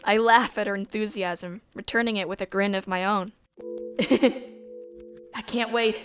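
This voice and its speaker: A woman narrating a sci-fi visual novel in the first person, measured and descriptive. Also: mid-range voice, fairly even intonation, soft background music.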